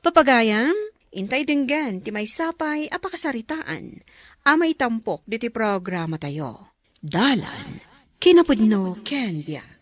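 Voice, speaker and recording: A woman's voice: fairly high pitch, animated, unhurried, dry recording. A woman with a Filipino accent reading scripted narration as the announcer of a radio drama program.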